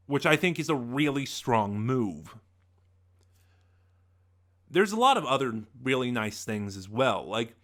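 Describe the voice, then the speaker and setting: A man's voice: mid-range voice, sharp tone. A man giving a casual spoken review as a channel host, conversational and opinionated, thinking aloud as he goes.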